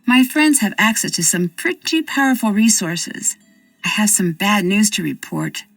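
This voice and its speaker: An actress voicing a confident young American amateur detective who asks direct, probing questions with dry wit. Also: female voice, medium pitch, sing-song, moderate pace, creaky, no room sound, distant mic, faint music behind. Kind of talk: video game voice acting.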